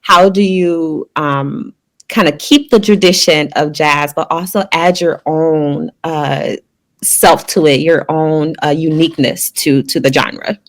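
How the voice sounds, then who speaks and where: A woman's voice: deep voice, steady tone, slow. A woman from Liberia, raised in Detroit, asking interview questions in a friendly way with fillers and hesitations.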